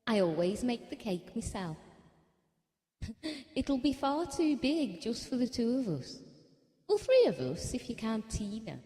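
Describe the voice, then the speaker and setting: An actress playing a chatty, down-to-earth working-class wife in an audio drama, speaking in a colloquial, confiding way. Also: female voice, on the high side, big pitch swings, a little slow, long pauses, mellow, long reverb tail. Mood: wistful, tender.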